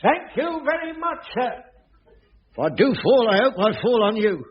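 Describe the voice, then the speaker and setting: High-pitched, grumbling put-upon caretaker. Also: male voice, very animated, moderate pace. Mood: grudging deference. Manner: grumbling, stammering, servile. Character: put-upon, grudgingly deferential, muttering male caretaker. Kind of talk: 1980s radio sitcom acting. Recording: dull radio recording, studio audience, faint noise.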